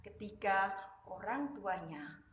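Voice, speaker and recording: A woman telling a children's story like a kindergarten teacher, deliberately, in short emphatic phrases. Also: medium pitch, animated, a bit bright, slight background noise. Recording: echoey room.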